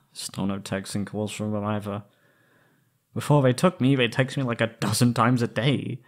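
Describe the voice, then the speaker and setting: A young man acting an anxious, wistful former captive in a scripted roleplay audio drama, speaking hesitantly and haltingly. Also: on the low side, steady tone. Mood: troubled, wistful.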